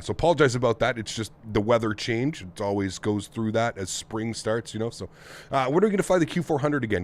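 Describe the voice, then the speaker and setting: A man from North America, sounding nasal and congested, hosting a casual live stream and greeting viewers one by one in an upbeat, friendly way. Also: mid-range voice. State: congested throat from the weather. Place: home flight sim setup.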